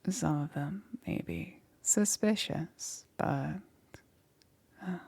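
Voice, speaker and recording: A woman playing a lonely, gently possessive witch in an ASMR roleplay, speaking in a soft, hesitant, breathy murmur that often drops into a whisper. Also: deep voice, slow pace, exaggerated voice. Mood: worried, coaxing.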